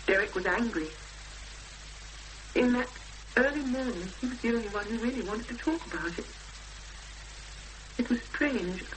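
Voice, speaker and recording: A woman's voice: fairly high pitch, moderate pace, long pauses, a bit bright, natural voice. An actress playing a frightened, weary woman searching for her missing sister in a 1970s radio drama, speaking in short, intense, reflective phrases. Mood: subdued. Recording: noisy 1970s radio broadcast recording.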